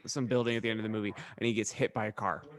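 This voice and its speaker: A man's voice: on the low side, fairly even intonation, racing. A man co-hosting a podcast, telling rambling anecdotes in a casual, comedic chat with a wry manner and ready laugh. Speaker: podcast co-host.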